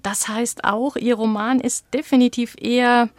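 A woman with a slightly high voice leads a literary interview. She is articulate and analytical, putting thoughtful observations and questions, and her sound is close and dry. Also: steady tone, moderate pace, no room sound.

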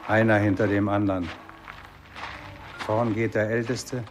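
An actor gives a fisherman in a vintage radio drama a low, grave voice that declaims each line solemnly. Faint hiss from the old recording runs under it. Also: little pitch movement, a little slow, lightly acted. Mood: grim. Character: grave Japanese fisherman leading his village.

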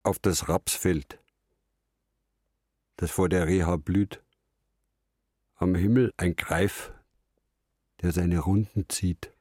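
A man's voice: deep voice, fairly even intonation, slow, long pauses, a little mellow, no room sound, clean studio sound. An actor playing a stubborn, laconic elderly father and former fisherman in a radio drama. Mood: brooding. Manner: laconic, clipped phrases. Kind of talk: radio drama narration and dialogue.

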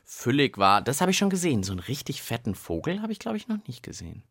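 A man's voice: medium pitch, wildly swooping pitch, fast, a bit bright, no room sound, studio quality. A man co-hosting a children's podcast, musing aloud in an easygoing, agreeable way while doing an experiment. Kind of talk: casual hands-on science chat for kids.

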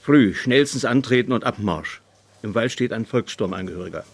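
A man's voice: mid-range voice, animated, moderate pace, creaky, husky. A man reading a scripted diary-like report in a terse, matter-of-fact manner. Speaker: reader.

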